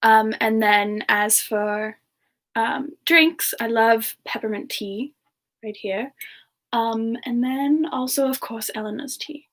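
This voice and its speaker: A woman playing a sweet, bashful female demon singer, soft-spoken and hesitant, in a semi-ASMR livestream chat. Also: on the high side, slow pace. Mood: cozy, friendly.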